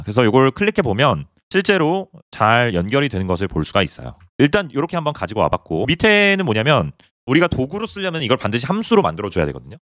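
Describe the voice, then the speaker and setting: A man talks through a coding tutorial at a brisk clip, pointing at items on his screen as he goes. His voice moves up and down in a lively way. The sound is dry and close, from a small quiet room. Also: medium pitch, no room sound. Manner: explains step by step, pointing things out on screen. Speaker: programming instructor.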